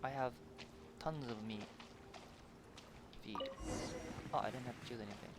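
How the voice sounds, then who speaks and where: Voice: medium pitch, normal speed, very noisy. A game streamer giving casual playthrough commentary in brief, offhand reactions. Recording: game stream under loud music.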